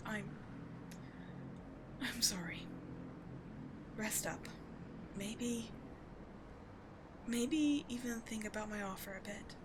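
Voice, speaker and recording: A woman playing an obsessive, devoted villain in a dramatic ASMR roleplay, speaking with theatrical intensity that moves between forceful and hushed. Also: medium pitch, background noise. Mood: tender, resigned. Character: obsessive, devoted female villain wooing a wounded sidekick.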